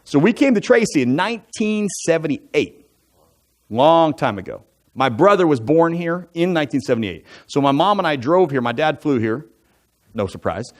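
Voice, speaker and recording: A man in his forties, an elder from the United States, telling his life story to a church congregation in a relaxed, conversational way, full of asides and jokey fun facts. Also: fairly high pitch, moderate pace. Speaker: church elder giving a testimony. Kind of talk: personal testimony talk from the pulpit. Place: church sanctuary.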